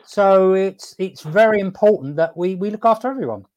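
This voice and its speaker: A man hosting a casual live stream, upbeat and laughing often, talking haltingly with many fillers and restarts and asking "okay?" to check in. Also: high voice, a little mellow. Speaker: host.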